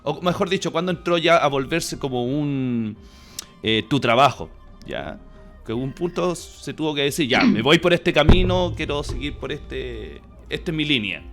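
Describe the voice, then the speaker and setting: A man with a Chilean accent hosting a casual interview, phrasing haltingly with frequent tag questions. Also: fairly high pitch, lively intonation, vocal fry, fairly bright tone, soft background music. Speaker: interviewer and host.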